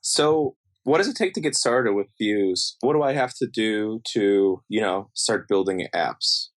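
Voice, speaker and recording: A man's voice: fairly low pitch, animated, unhurried. A man speaking as a podcast panelist in a casual, conversational way.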